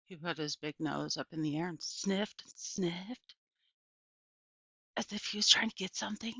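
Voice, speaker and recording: A woman's voice: lowish voice, normal speed, a bit bright, husky, no echo, lo-fi sound. A woman voicing an elderly small-town librarian in a children's storybook, a folksy, emphatic storyteller who repeats herself and turns dramatic and wistful. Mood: dramatic, defiant. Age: older. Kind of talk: children's storybook read aloud.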